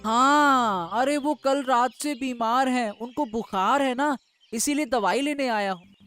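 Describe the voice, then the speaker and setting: A woman's voice: sits high, unhurried, a bit bright, soft background music. A woman voicing a polite, caring young village boy in a cartoon story. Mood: polite, earnest. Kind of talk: Hindi cartoon story dialogue.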